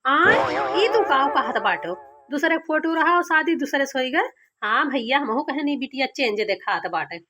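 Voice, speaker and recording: Woman doing a village mom, awadhi cartoon, highish bright voice, coaxing and practical. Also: lively intonation, normal speed, highs cut off. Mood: puzzled. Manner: coaxing, questioning. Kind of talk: cartoon comedy acting.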